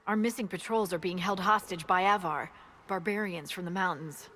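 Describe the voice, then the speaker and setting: An actress playing a brisk woman military scout in a video game, briefing in a frank, dry way. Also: on the low side, a bit bright, slight background noise, natural voice.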